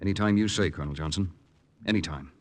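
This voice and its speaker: An actor playing a calm, resolute American secret agent lieutenant, telling his wartime story in a measured, earnest 1940s radio drama style. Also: sits low, lightly acted. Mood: keen, businesslike. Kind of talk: 1940s radio acting, first-person dramatic narration. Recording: 1940s radio recording, music underneath. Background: dramatic music.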